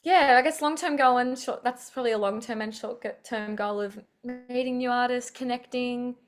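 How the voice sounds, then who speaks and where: A woman's voice: a little high, fairly even intonation, normal speed. Chatty young woman on a video call. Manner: chatty, upbeat, many fillers. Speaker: singer-songwriter guest. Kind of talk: casual interview chat.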